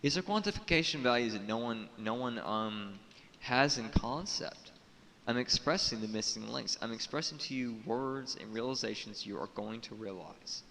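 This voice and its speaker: Echoey, bright-voiced man in halting monologue. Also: mid-range voice, expressive, creaky. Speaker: video blogger. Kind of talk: unscripted spiritual monologue to camera. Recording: echoey reverberant room with audible hiss.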